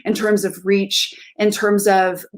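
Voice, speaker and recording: A woman's voice: mid-range voice, little pitch movement, slow pace. A woman in her thirties, a theater director, speaking thoughtfully and emphatically in casual interview answers over a video call from home.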